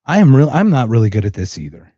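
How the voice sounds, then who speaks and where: A man's voice: mid-range voice, sing-song, fast, warm tone, slightly rough, no echo. Guy hosting a podcast, chatty and gushing, repeats stuff for emphasis, kinda lo-fi dull audio. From Philadelphia, United States. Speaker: podcast host. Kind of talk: casual podcast conversation.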